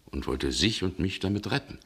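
An actor playing a brooding, haunted Russian gentleman in a German radio drama, speaking gravely and haltingly. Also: deep voice, expressive. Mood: grim, intense. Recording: 1960 radio recording, some background noise.

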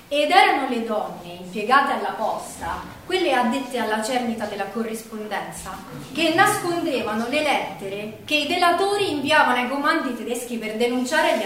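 A woman giving a theatrical, declamatory narration in short measured phrases. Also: a little high, a bit bright. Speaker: stage performer. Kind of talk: theatrical storytelling on the wartime Resistance. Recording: very echoey stage, distant mic, faint noise.

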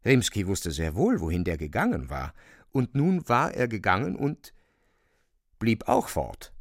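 A man narrating a literary radio drama with measured, dramatic storytelling. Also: on the low side, wildly swooping pitch, no echo, clean studio sound.

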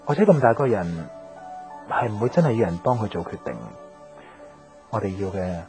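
An actor playing a hesitant, secretly jealous young man, a close friend, in a radio drama, halting and introspective, with a Hong Kong Cantonese accent. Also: lowish voice, animated, mellow, soft background music, close to an everyday voice. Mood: subdued, wistful. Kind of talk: radio drama dialogue and inner monologue.

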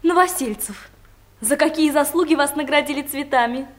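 A woman's voice: sits high, normal speed, background noise, natural voice. An actress playing a gossipy, mocking female office secretary with a knowing, teasing manner, in a Soviet radio comedy. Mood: businesslike, teasing.

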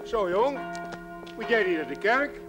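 A man's voice: sits high, very animated, unhurried, over music. An actor playing a chatty, nosy village man in a television drama, with a folksy, inquisitive manner. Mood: curious, indulgent.

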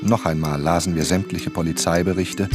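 An actor playing a reflective writer who narrates a crime radio drama in a scripted first person. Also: male voice, low voice, steady tone, moderate pace, over music, natural voice. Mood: reflective, measured.

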